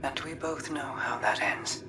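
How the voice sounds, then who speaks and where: An actress voicing a wise, compassionate confidant who gives gentle, measured counsel in a game drama. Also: female voice, a bass voice, background noise. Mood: gentle, grave. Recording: distant, under loud music.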